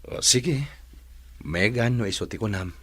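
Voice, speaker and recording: A man's voice: fairly low pitch, animated, unhurried, fairly bright tone, husky, dry recording, heavily acted. A man with an Ilocano accent playing a polite, smitten family driver in a radio drama. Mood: polite, friendly. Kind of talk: Philippine radio drama acting.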